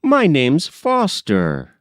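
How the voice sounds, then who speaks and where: A hearty middle-aged working man, acted out by a male narrator, speaking up in a raised, lively pitch. He is forthright and decided, a plain man of few words in a storybook scene. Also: very slow, dry recording, studio quality, exaggerated voice. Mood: hearty greeting.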